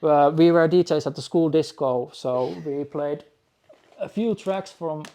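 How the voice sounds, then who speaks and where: A man in his forties from Finland, a DJ guest who speaks in a halting, thoughtful way with frequent restarts. Finnish and Dutch are his first languages. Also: a little high, dark tone. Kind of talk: podcast interview.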